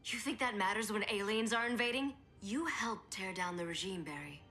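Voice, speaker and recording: A woman's voice: medium pitch, expressive, moderate pace, bright, forceful tone, soft background music. A woman playing a stern, insistent superhero mentor in a video game, firm and challenging.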